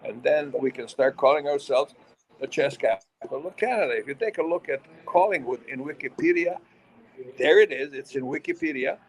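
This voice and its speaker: A man's voice: fairly high pitch, lively intonation, moderate pace, rough recording. An older man who grew up in Yugoslavia, hosting a casual radio interview and telling long, chatty stories. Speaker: radio host.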